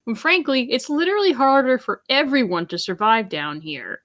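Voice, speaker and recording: A woman's voice: high voice, lively intonation, moderate pace, a bit bright. A woman speaking in character as a player in a tabletop game.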